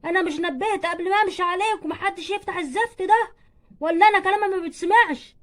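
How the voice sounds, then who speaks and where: A woman's voice: sits high, lively intonation, fast. A woman voicing a stern, exasperated father in doll play, nagging and scolding with an Egyptian accent. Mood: angry.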